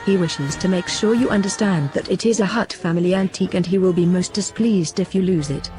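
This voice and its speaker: A man's voice: high voice, brisk, a little mellow, soft background music, natural voice. A man playing a stiff, precise go-between relaying a crime lord's orders in an audio drama. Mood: formal.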